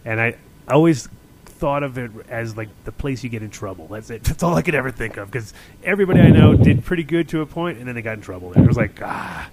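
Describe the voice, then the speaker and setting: A man's voice: medium pitch, lively intonation, fast talker, background noise. A man in his fifties from Rhode Island hosting a radio show, easygoing and jokey with guests. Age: 50s. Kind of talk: casual radio chat. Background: music.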